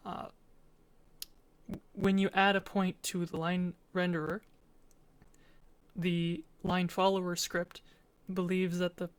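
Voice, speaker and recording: A woman's voice: fairly low pitch, level tone, slow pace, pauses often, no room sound. A woman giving an informal, matter-of-fact presentation as a student, explaining and narrating a game demo.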